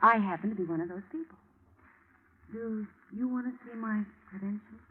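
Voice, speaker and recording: A woman playing a cool, sharp-tongued female undercover agent in a vintage radio drama, with a coy, dry manner. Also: mid-range voice, normal speed, a little hiss or hum, natural voice. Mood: cool, arch. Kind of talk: 1950s radio drama acting. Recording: tinny, narrow-band vintage radio recording.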